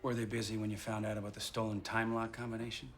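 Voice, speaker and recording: An actor playing a proud, disgruntled police detective, wry and grudging in a 1920s period TV drama. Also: male voice, fairly low pitch, little pitch movement, fast, background noise, natural voice. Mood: irritated. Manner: sardonic, grudging.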